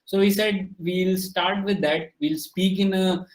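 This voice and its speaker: A man's voice: sits high, steady tone, a little slow, roomy sound, poor audio. A man whose first language is Marathi, a podcast guest talking casually, thoughtful, with many fillers and restarts. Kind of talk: casual conversation.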